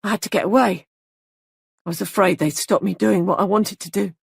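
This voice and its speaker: A woman's voice: mid-range voice, fairly quick, gravelly, slightly rough, dry recording, clean studio sound, full character voice. A woman voicing a childlike young killer. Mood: childishly vengeful. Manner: childish, menacing.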